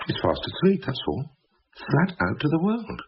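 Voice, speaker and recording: An actor playing a glib, cynical, self-mocking intellectual, wry, wordy and urbane in radio drama style. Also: male voice, a little high, wildly swooping pitch, normal speed. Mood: concerned. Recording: dull lo-fi old radio recording, faint hiss.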